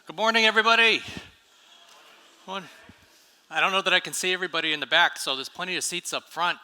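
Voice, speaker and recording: A man's voice: fairly high pitch, pushed and bright. An American man giving a casual conference talk on stage, asking the audience for shows of hands. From United States. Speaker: conference speaker. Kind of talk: live tech conference talk. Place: large conference hall stage. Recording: reverberant hall.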